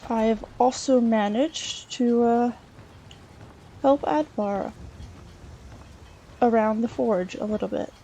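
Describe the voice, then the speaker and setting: Woman, high soft-edged mellow voice, halting in-character game narration, musing aloud, faint background noise. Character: chatty Breton scholar woman, treasure-hunting adventurer. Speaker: let's play host role-playing a character.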